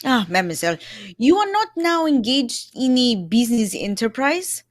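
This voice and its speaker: A woman's voice: on the high side, very animated, moderate pace. A woman playing a dapper, self-assured male detective in a put-on French accent, with formal, courtly phrasing, in a radio drama reading. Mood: courtly, amused. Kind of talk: live old-time radio drama reading.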